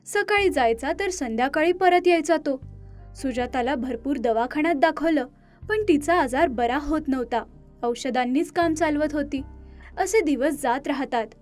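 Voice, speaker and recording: A woman delivers scripted storytelling narration for an animated moral tale. Her voice is high, and faint music plays underneath. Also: moderate pace.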